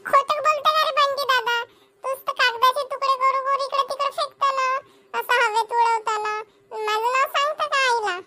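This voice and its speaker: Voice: very high voice, fairly even intonation, normal speed, very noisy. A performer voicing a playful, pestering little girl in a Marathi cartoon comedy, pleading repetitively with a Maharashtrian accent. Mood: indignant. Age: child character, about seven. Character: playful pestering little girl, second grader, younger sister.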